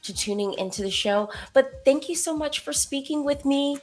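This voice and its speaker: A woman's voice: sits high, moderate pace. An American woman interviewing a guest in a casual, chatty way, her questions full of fillers. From United States.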